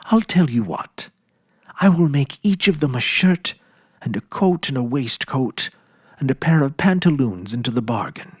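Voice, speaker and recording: A man voicing a kindly, grateful shoemaker's wife in a storybook tale, earnest, lilting and breathy. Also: medium pitch, animated, normal speed, no room sound, studio quality.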